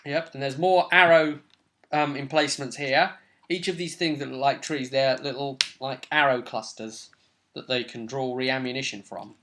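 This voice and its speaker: A man's voice: medium pitch, steady tone, moderate pace, pushed and bright. A man in his twenties chatting casually as host and game master about a tabletop game session, recorded indoors on a webcam. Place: small home room, indoors. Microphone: webcam at arm's length.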